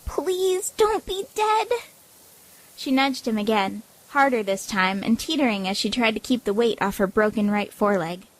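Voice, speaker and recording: A woman's voice: medium pitch, brisk, hardly any pauses, low-quality recording. A woman reading scripted story narration as the narrator of an audio drama.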